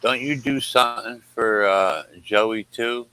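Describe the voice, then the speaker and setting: A man's voice: medium pitch, takes it slowly, pushed and bright, low-quality recording. A man chatting casually in short, informal remarks, with faint noise behind him. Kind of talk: casual chat. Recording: faint background noise and hum.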